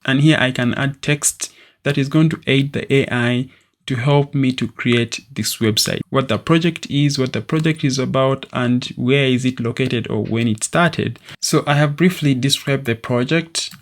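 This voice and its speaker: A young man hosting a screen-recorded software tutorial, walking through steps in a steady, explanatory way with occasional fillers, recorded at a desk in a small room. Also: mid-range voice, normal speed. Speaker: tutorial host.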